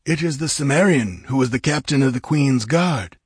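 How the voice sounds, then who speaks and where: A man acts out a desert nomad in an adventure storybook. His speech is guttural and sits well above a narrator's natural pitch. He is wary and argues his point. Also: moderate pace, husky, dry recording, studio quality, lightly acted. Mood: gruff recognition. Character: wary male desert nomad tribesman, objecting.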